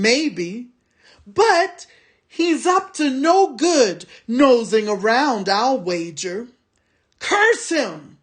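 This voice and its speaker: Brutish orc soldier, blustering and threatening, a woman doing him, slow. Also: high voice, voice pushed up, exaggerated voice. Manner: shouting, threatening. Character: big brutish male orc soldier, bullying and hot-tempered.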